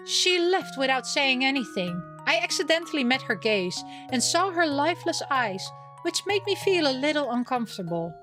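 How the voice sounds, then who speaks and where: A woman's voice: high voice, big pitch swings, normal speed, over music. A woman reading a visual novel aloud as a gaming host, with casual commentary of her own.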